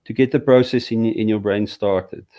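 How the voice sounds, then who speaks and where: A man from South Africa speaks haltingly, leaning on fillers and restarting his sentences. He sounds like a teacher explaining his course over a video call. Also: fairly low pitch, fairly even intonation, warm tone. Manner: hesitant, many fillers and restarts. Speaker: Hebrew teacher. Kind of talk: casual interview conversation.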